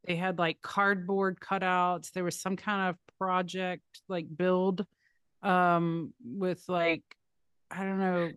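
A woman's voice: lowish voice, level tone, takes it slowly, fairly bright tone, smooth, dry recording, clean studio sound. A woman recounting memories in a casual conversation, talking in a hesitant way that often trails off and restarts. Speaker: podcast guest.

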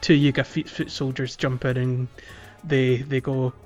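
A man's voice: mid-range voice, flat delivery, unhurried, darkish tone, faint music behind. A man giving casual, chatty gaming commentary as a podcast host, telling things informally.

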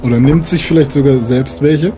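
A man's voice: medium pitch, moderate pace, music in the background. A young man improvising a story as a player in a tabletop role-playing game, chatting casually and with slang. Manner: informal, slangy.

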